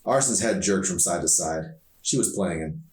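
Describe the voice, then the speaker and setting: Man, low pitch, author reading aloud, expressive narration, echoey room, slightly distant mic. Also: normal speed. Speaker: author reading his novel. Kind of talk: expressive audiobook-style narration.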